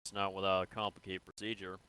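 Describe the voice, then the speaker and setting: A calm, businesslike interrogator from a sci-fi roleplay game acted by a man. The voice sits fairly low with a bright, forceful edge. He questions in a measured, conversational way, like an officer working through a case. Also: clean tone, dry recording. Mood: calm, probing. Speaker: player in an online roleplay. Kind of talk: improvised in-character game roleplay.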